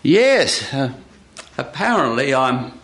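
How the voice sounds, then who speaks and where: A man's voice: mid-range voice, big pitch swings, slow, fairly bright tone, roomy sound. A man voicing a naive, blithely self-assured foolish traveller in a live storytelling show, speaking emphatically. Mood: cheerful obliviousness. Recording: live radio studio, background noise.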